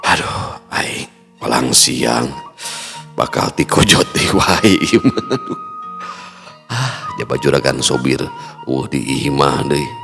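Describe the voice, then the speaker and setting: A man's voice: very deep voice, takes it slowly, pitched below the performer's own voice, exaggerated voice. A man doing an anxious young man muttering. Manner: hushed, worried muttering. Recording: reverb and faint music.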